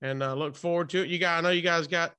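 A man's voice: fairly high pitch, steady tone, fast talker, sharp tone, smooth. A man hosting a podcast interview in a casual, enthusiastic, informal way. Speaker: podcast and radio host interviewer. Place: hotel room, remote call.

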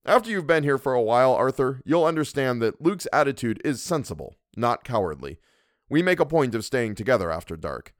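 A man's voice: medium pitch, sing-song, fairly quick, dry recording, clean studio sound, voice pushed up, natural voice. A man playing a mild, placating doctor. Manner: gentle, placating, hesitant. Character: mild, earnest middle-aged male doctor, hesitant.

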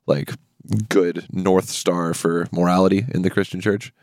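A man's voice: deep voice, flat delivery, a little slow, darkish tone, no room sound, studio quality. An American man in casual conversation, explaining things and carefully qualifying his own points. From US South.

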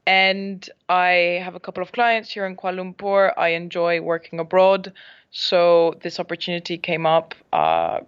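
A woman's voice: fairly low pitch, animated, measured pace, smooth. A woman in her twenties who grew up in Spain, answering interview questions in an easygoing, upbeat way over a remote call. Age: 20s. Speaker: podcast guest. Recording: muffled lo-fi call audio.

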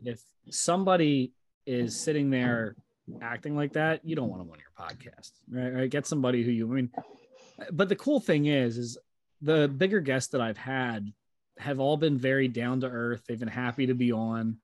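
A man in the host's seat, speaking at a middle pitch in a friendly, agreeable way. He often restarts his sentences, and his voice sounds dry and close, with no room echo. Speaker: podcast host. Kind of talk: casual interview chat.

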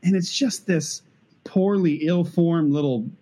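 A man's voice: fairly high pitch, unhurried, warm tone. A man in a podcast conversation, speaking hesitantly with many repeated words.

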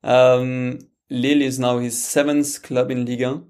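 A man's voice: mid-range voice, little pitch movement, measured pace, darkish tone, clean tone. A man with a French accent, a guest pundit talking football on a podcast, hesitant with many fillers and restarts. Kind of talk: casual podcast chat.

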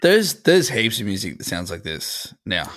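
A man's voice: fairly low pitch, sing-song, moderate pace, fairly bright tone. A man co-hosting a podcast, rambling in casual chat with lots of fillers. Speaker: podcast co-host.

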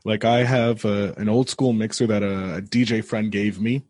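A man's voice: lowish voice, steady tone, moderate pace, a little mellow, no echo. A man hosting a podcast and chatting with a guest in an easygoing, agreeable way. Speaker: podcast host. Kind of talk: casual interview chat.

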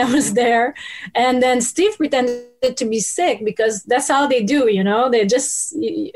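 Brief: French Canadian woman, native French, interview guest, animated storyteller, slightly high voice. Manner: lively, laughing, quick bursts of speech. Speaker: guest, former TV host.

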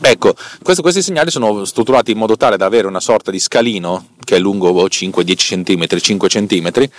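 Low-pitched man, halting and rambling. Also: no echo. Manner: rambling, halting, self-correcting, repeating words. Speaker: podcast host. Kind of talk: casual unscripted technical explanation. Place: inside a car.

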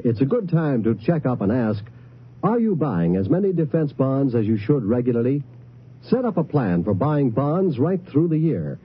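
A man reading a scripted public-service announcement as a 1950s radio announcer, formal, instructive and emphatic. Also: mid-range voice. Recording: slightly muffled, hissy 1950s radio recording.

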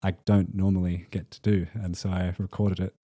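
Low-voiced musician, laid-back. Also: male voice, a little fast, dry recording, clean studio sound. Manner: relaxed, laughs often, hesitant. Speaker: musician guest. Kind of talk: casual interview.

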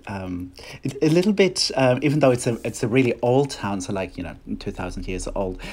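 A man's voice: mid-range voice, lively intonation, a little fast, a little mellow. A middle-aged man with a German accent, speaking English as a second language, chatting as an interview guest and often restarting his phrases. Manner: hesitant, many restarts and fillers. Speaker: guest choreographer and dancer. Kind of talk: casual interview chat.

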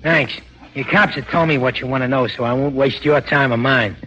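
An actor playing a blunt, slangy cab driver in a 1940s radio drama. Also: male voice, medium pitch, fairly quick, sharp tone, natural voice. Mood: matter-of-fact. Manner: plain-spoken, slangy, matter-of-fact. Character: blunt, streetwise, no-nonsense city cab driver. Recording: dull old 1940s radio recording.

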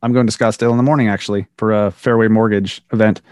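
A man hosting a podcast, relaxed and casual, firing off quick this-or-that questions to his guest. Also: fairly low pitch, no echo, clean studio sound. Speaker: podcast host.

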